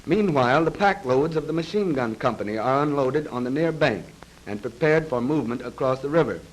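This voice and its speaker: A man reads scripted narration for a 1930s military training film in a formal, measured, precise manner, on a vintage film soundtrack. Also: mid-range voice, animated, low-quality recording. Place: voice-over recorded for a film.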